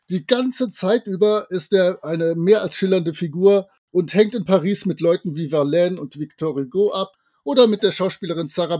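Man, fifties, highish pitch, chatty podcast narration, jocular colloquial asides, dry close sound. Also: darkish tone, no room sound. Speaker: podcast co-host.

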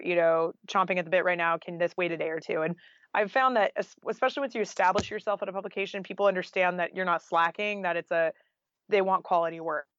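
A woman's voice: on the low side, a bit bright, no room sound, highs cut off. A woman talking casually as an interview guest, chatty and anecdotal, with lots of conversational filler. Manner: chatty, anecdotal, with frequent "like" and "you know". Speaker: podcast guest, freelance journalist and author.

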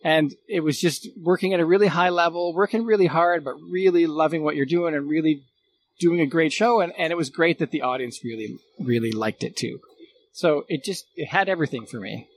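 A man's voice: on the high side, a little fast, slight background noise. A man chatting casually as a guest, reminiscing earnestly and often rephrasing as he goes. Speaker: guest, former radio producer.

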